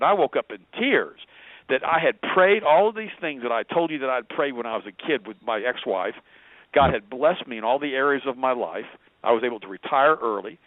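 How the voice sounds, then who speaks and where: Fast-talking man on a tinny phone line. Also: mid-range voice, no room sound. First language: German. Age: 50s. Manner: rambling, repeats words, earnest. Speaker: guest. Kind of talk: personal story on a talk radio show.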